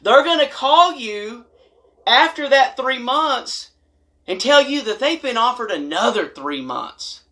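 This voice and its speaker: A man's voice: very high-pitched, very animated, slow pace, bright, forceful tone. An American man delivering a humorous storytime monologue to camera in a blunt, folksy, emphatic way. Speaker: video host telling stories and advice.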